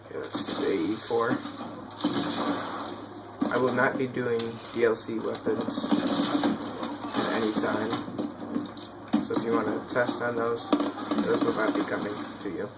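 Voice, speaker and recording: A man talks casually over his video game, with offhand asides muttered to himself. His voice stays flat and has a gravelly fry to it. The home recording is heavily covered in noise. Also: mid-range voice, measured pace, pauses often. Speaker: gaming video creator. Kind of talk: casual gameplay commentary. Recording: very noisy home gameplay recording.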